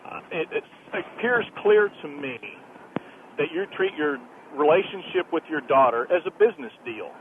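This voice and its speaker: A male caller on a radio debate with a fairly high voice. He sounds earnest and disapproving, with measured wording. The phone line is narrow and tinny, with clear noise. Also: sing-song. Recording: noisy, tinny telephone line.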